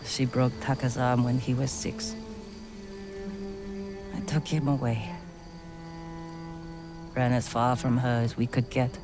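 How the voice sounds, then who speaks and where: An actress playing a tough, guarded, fiercely protective older sister in a video game, speaking bluntly and tersely. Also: female voice, extremely low pitch, little pitch movement, slow pace, pauses often. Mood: subdued, reflective. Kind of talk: dramatic game cutscene dialogue. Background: music.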